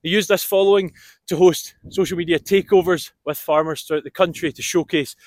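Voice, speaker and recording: Higher-pitched man reading sponsor message. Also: steady tone, brisk, no room sound. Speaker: podcast sponsor announcer. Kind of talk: scripted sponsor read.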